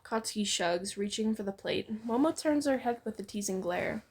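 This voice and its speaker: A woman's voice: medium pitch, lively intonation, moderate pace, a little mellow, slightly rough. A woman co-narrating fan fiction in an informal, playful storytelling read. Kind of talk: expressive fan fiction reading.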